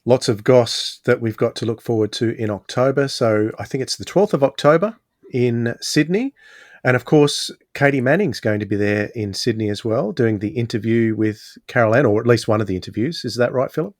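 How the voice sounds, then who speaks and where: A man with a slightly low voice talks as a podcast host in a casual, conversational way. The sound is dry and close, with very little room in it. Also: a little fast, no echo.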